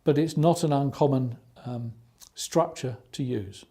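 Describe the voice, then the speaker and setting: A middle-aged man giving a recorded training lecture, explaining in a measured way and listing points one by one. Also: mid-range voice, warm tone. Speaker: IT service management trainer.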